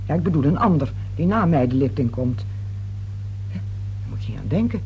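A woman's voice: deep voice, sing-song, fairly quick, muffled recording. An actress in a radio play playing a nervous, defensive woman under questioning, hesitant and insistent as she protests. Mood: insistent, uneasy. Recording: radio play recording with hiss and hum.

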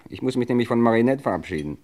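A suave and menacing crime boss, played by a male actor in a vintage radio play. He speaks with strongly animated pitch at a middle height, controlled and domineering, like a man who orders fine wine and threatens in the same breath. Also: very fast, lightly acted. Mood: controlled, smug. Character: cold, menacing gangster boss, a connoisseur of food and wine. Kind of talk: German crime radio play acting of the 1950s to 80s.

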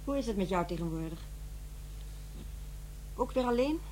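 Actress as mellow, cool middle-aged mother. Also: medium pitch, expressive, moderate pace, slight background noise, lightly acted, poor audio. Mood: cool, polite. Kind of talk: radio play acting, naturalistic dialogue.

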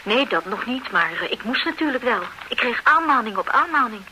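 A woman's voice: fairly high pitch, expressive, fairly quick, bright, forceful tone, a little hiss or hum, rough recording. A rueful, cheated mother. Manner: earnest, explaining at length. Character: flustered divorced mother duped by an ad. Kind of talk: radio play acting.

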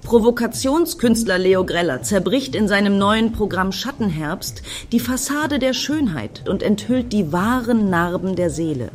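A woman's voice: mid-range voice, lively intonation, a little slow, few pauses, close to an everyday voice. An actress playing an impatient, self-assured, defiant woman in a satirical radio play, brusque and assertive. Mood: declaiming, brusque.

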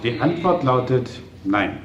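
Firm lawyer in an echoey room. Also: male voice, medium pitch, sing-song, unhurried, crowd noise. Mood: firm, official. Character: firm, formal male lawyer guarding his client. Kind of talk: German radio play acting. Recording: dull, echoey old radio recording.

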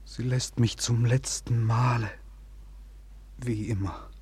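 Heartbroken young man in old german radio play, really husky, slow pleading dramatic lines. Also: mid-range voice, fairly even intonation, darkish tone. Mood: bitter despair. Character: heartbroken, despairing young male lover. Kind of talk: 1940s German radio drama acting, theatrical.

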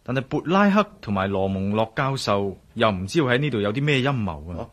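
An actor playing a calm, rational male investigator in a radio drama, speaking patiently with a Hong Kong Cantonese accent. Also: on the low side, fairly quick. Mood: thoughtful. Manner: composed, reasoning, patient.

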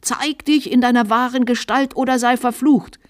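A woman voicing an old bishop, fawning and fiercely zealous, with a quavering, shrill voice in a storybook reading. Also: a little slow, no echo, studio quality, close to an everyday voice. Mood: shrill, commanding. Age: older. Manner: wheedling or fiercely commanding. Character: old bishop, fawningly tender, then shrieking with zealous malice.

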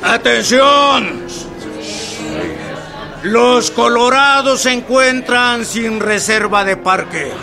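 A commanding general in a radio war drama, his high voice edged with gravel. He gives orders slowly and with authority, over music and a crowd. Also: exaggerated voice. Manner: barking, authoritative, deliberate military delivery. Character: commanding male army general issuing battle orders. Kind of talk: historical radio drama acting. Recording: music and crowd noise behind. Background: music and crowd of soldiers.

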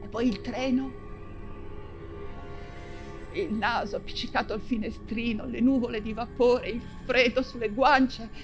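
An actress playing an imprisoned, nostalgic Russian exile writer in an intense, halting theatrical monologue, repeating words for emphasis. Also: female voice, mid-range voice, lightly acted. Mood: anguished, nostalgic. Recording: music under the voice. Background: music.

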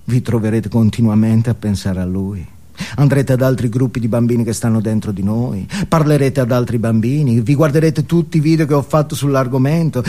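A man's voice: mid-range voice, a little mellow, pitched below the performer's own voice, close to an everyday voice. A man playing a brash, cynical self-help guru in a comic stage monologue, exhorting his listeners emphatically with rhetorical questions. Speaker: stage actor. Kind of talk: theatrical comic monologue as motivational speech.

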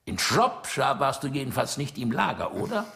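An actor playing a Soviet dictator in a German radio drama, playful and mock-jovial with a probing, menacing edge. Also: male voice, medium pitch, sing-song, moderate pace, vocal fry, pushed and bright, heavily acted.

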